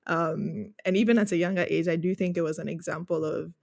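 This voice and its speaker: Low-voiced woman from Ghana, close dry sound. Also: steady tone, brisk, gravelly, darkish tone, no room sound. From Ghana, grew up in Accra. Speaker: podcast guest, user experience researcher. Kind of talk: conversational interview answers.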